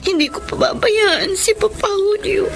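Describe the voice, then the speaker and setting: Devoted young daughter, very high voice, earnest melodrama, radio serial, clear music underneath. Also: female voice, moderate pace, poor audio. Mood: tearful resolve. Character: devoted young adult daughter, emotional, distressed, protective of stepfather. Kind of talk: Filipino radio drama acting. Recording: music bed behind the voice.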